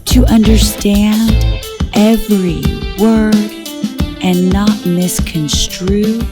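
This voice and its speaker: A woman playing a cold, seductive police commissioner, breathy and condescending, speaking deliberately in a comedy radio drama. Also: medium pitch, fairly even intonation, music in the background.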